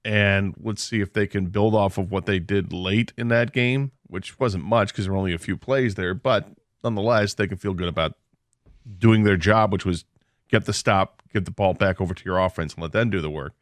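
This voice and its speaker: Low-voiced man, confident sports radio talk. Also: moderate pace, no room sound, studio quality. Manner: analytical, confident picks. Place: radio studio.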